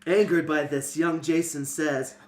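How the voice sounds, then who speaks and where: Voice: mid-range voice, steady tone, measured pace, darkish tone. A podcast host reading a fantasy novel aloud and bantering casually, prone to giggling. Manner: giggly, breaking into laughter. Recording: music behind the voice.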